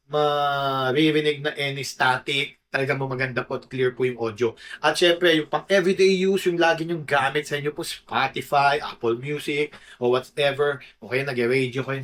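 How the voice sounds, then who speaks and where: A man's voice: mid-range voice, fairly bright tone. A Filipino man giving a casual product review to camera, informal and often checking in with short tag questions. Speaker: motorcycle gear reviewer.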